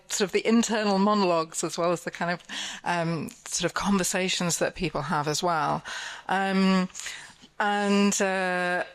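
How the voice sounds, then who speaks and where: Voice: mid-range voice, a bit bright, low-quality recording. Hedging panel moderator. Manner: hedging, many fillers. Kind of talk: panel discussion.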